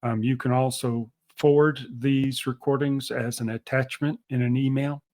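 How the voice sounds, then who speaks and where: A man presents a software demo in a mid-pitched voice. He explains steadily and fills his pauses with ums and uhs. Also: fairly even intonation. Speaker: webinar presenter. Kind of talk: product demo webinar.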